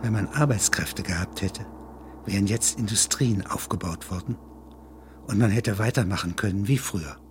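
An older man with a husky voice, speaking reflectively with frequent questioning tags. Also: lowish voice, normal speed, background noise. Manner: discursive, punctuated with questioning tags. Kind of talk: reading prose and reflective conversation.

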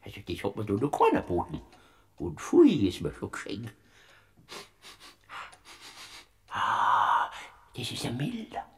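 An actor in a classic radio play playing a timid, wheedling male figure of Death who speaks with a gravelly Bavarian drawl. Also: fairly low pitch, huge pitch swings, normal speed, exaggerated voice. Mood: hesitant. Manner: hesitant, wheedling.

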